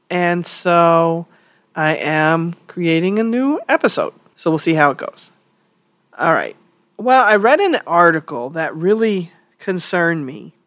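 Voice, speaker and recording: A woman who hosts her own podcast talks slowly and deliberately through a solo opinion monologue. She is still a little congested from a cold. Her tone is conversational and opinionated, and she thinks aloud as she goes. The recording is dry and close, with no room sound. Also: lowish voice, no room sound. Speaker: podcast host.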